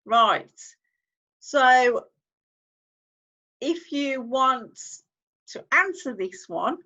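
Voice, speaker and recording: A woman's voice: high voice, expressive, drawn-out words, bright, forceful tone, muffled recording. A woman, hosting a casual screen-share tutorial, chatting as she clicks through steps and reads on-screen text aloud. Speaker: online-income YouTuber host.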